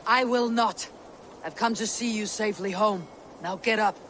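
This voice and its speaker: Lady doing a stern viking warrior for a game, mid pitched, firm and blunt, ambient noise behind her. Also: normal speed, fairly bright tone, lightly acted. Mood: commanding. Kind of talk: dramatic video game acting. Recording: clear background ambient noise.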